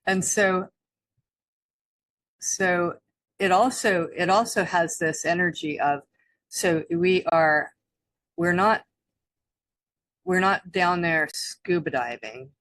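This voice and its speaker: A woman co-hosting a spiritual talk, speaking haltingly with many restarts and soft laughs. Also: on the low side, no room sound. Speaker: co-host. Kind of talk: spiritual teaching chat.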